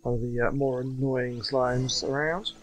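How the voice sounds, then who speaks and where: A man's voice: medium pitch, steady tone, unhurried, a little hiss or hum. A man giving laid-back, slightly wry casual commentary as he plays a video game. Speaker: gaming YouTuber.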